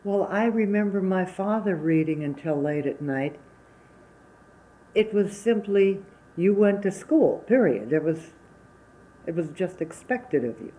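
Older lady from wisconsin, czech was her first language, soft warm voice, takes her time telling old stories. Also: lowish voice, animated, poor audio. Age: 70s. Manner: reminiscing, unhurried, plainspoken. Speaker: interviewee. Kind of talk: oral history interview.

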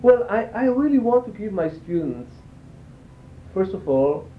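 Halting older man, echoey tinny recording. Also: sing-song. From Israel. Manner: halting, searching for words with repeated phrases. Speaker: interviewee, cello teacher and performer. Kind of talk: reflective interview answers. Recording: echoey, tinny band-limited sound with faint rumble.

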